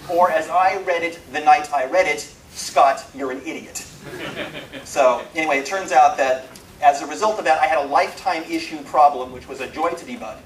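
A man with a slightly high voice delivers an informal programming lecture with dry, self-mocking humour. He speaks emphatically and pauses for effect. The sound is roomy and a little distant from the microphone. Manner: animated, self-deprecating, wry humour. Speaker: speaker giving a technical programming talk. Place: indoor talk room. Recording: roomy, distant mic, some background noise.

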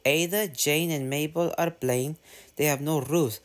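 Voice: mid-range voice, steady tone, measured pace, pitched above the performer's own voice, lightly acted. A narrator voicing Peter Sam, an eager, polite young male engine who chats on and on. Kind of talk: storybook reading.

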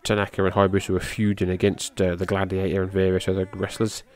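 A middle-aged man with a slightly low voice calls a wrestling match in loose, rambling podcast commentary. He talks casually and swears here and there. He rises in pitch and volume when the big moves land. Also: quick pace. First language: English. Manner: loose, rambling, swears casually, gets excited at high spots.

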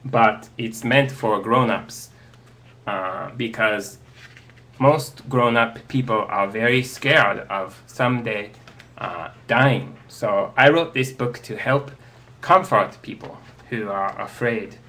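A man in his thirties whose first language is Japanese, answering audience questions on a video call in a slow, hesitant way with many fillers. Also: lowish voice, sharp tone. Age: 30s. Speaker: interview guest. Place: home study, indoors. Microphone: earbud headset on a video call.

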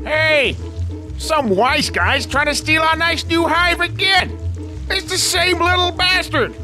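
A man's voice: very high voice, slow pace, pushed and bright, soft background music, exaggerated voice. A man voicing an indignant, bossy little guard bee in a video game. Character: agitated, belligerent little male guard bee. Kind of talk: video game cutscene dialogue.

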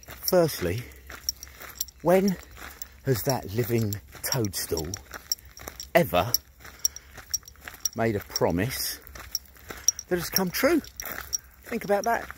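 A man, doing a brash, sweary, mocking one-sided prank phone call outdoors, filmed on a phone held at arm's length. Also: fairly low pitch. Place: outdoors among trees. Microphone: phone held at arm's length, headphones on. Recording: noisy outdoor phone recording.

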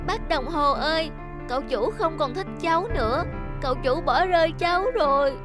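A woman's voice: high voice, moderate pace, lightly acted. A woman voicing a hurt, childlike talking shoe in a cartoon, plaintive and reproachful. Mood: tearful. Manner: plaintive, emotive. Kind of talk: cartoon dubbing. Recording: music behind the voice.

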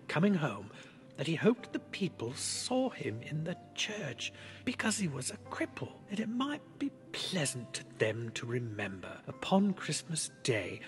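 An actor playing a tender, wistful poor father in a storybook reading, hushed, halting and tremulous. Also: mid-range voice.

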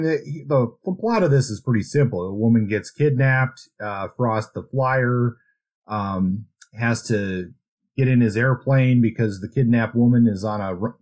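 A man co-hosting a podcast, talking through a casual book discussion in a conversational, measured way. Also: mid-range voice, a little mellow. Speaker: podcast co-host.

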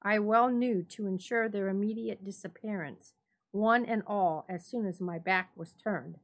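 A woman narrator gives a dramatic reading of a story. Her voice sits at a medium pitch, and she delivers the prose in a measured, deliberate way.

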